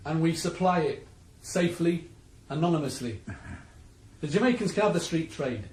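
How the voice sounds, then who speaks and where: A man's voice: on the high side, animated, moderate pace, a little mellow, roomy sound, close to an everyday voice, rough recording. A man playing a smug sneering drug dealer. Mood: boastful. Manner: sneering, coolly threatening. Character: smug male cocaine dealer, menacing and cool. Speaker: villain in radio play. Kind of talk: 1980s radio drama acting.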